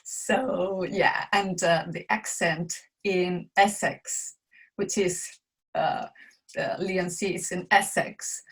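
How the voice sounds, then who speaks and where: Slow-speaking woman. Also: lowish voice, steady tone, fairly bright tone, husky, rough recording. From Chile. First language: Spanish. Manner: halting, searching for words in a second language. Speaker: guest. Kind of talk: casual interview chat.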